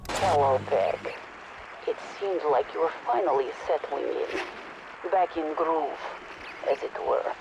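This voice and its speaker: An actress playing a ruthless, scornful scientist, speaking bluntly in game dialogue. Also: female voice, low-pitched, takes it slowly, gravelly, music in the background, full character voice. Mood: coolly mocking. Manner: blunt, matter-of-fact. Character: cold, ruthless Eastern European female scientist, scornful. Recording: dull, noisy radio-like log recording.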